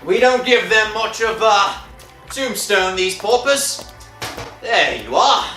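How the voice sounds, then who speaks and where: A man's voice: high voice, expressive, slow, sharp tone, faint music behind, heavily acted. A man playing a wry, placating old gravedigger in a 1960s radio horror drama. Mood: grim, weary. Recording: old radio recording, echoey.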